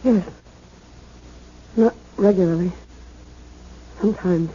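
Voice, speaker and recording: An actress playing a distraught, high-strung young woman in a 1970s radio drama, pleading, insistent and tearful, with halting repetitions. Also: warm tone, breathy edge. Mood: subdued, sad. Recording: muffled vintage radio broadcast.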